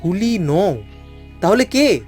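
A self-important gentleman doctor in a cartoon. His voice is high for a man and exaggerated in delivery. Faint music plays underneath. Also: animated, slow. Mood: puzzled. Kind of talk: cartoon voice acting.

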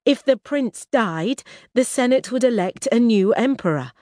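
A woman voicing a cautious, sharp-minded young woman in an audiobook, with a guarded, questioning manner. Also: fairly high pitch, expressive, measured pace, dry recording, studio quality, pitched above the performer's own voice. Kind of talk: audiobook character reading.

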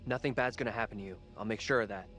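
A man's voice: lowish voice, rapid-fire, a bit bright, faint music behind, close to an everyday voice. An actor playing a protective, reassuring young modern man in danger in a video game. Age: young adult. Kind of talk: naturalistic game cutscene acting.

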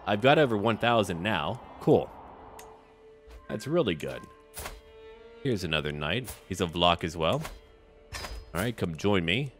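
A man's voice: low voice, very animated, a little slow, pauses often. A man giving casual commentary over a strategy game, talking to himself in short remarks and reading bits aloud. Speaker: gaming video host. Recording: music behind the voice. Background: background music.

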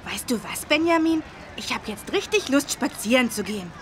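A woman's voice: sits high, lively intonation, normal speed, a little hiss or hum. A woman voicing a cheerful, curious little boy who eagerly explains things in a children's game. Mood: eager, friendly. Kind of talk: children's educational game voice acting.

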